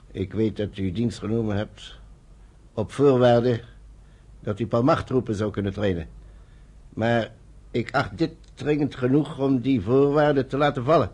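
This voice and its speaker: An actor playing a formal, measured British general in a radio drama, speaking with authority. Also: male voice, medium pitch, darkish tone, slightly rough. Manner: formal, authoritative, deliberate phrasing. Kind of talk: Dutch radio drama acting.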